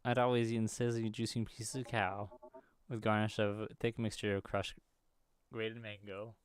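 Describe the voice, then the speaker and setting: A man's voice: fairly low pitch, flat delivery, moderate pace, clear, resonant tone, no room sound. A man hosting a gaming video, reading visual novel dialogue aloud and now and then stumbling over the words.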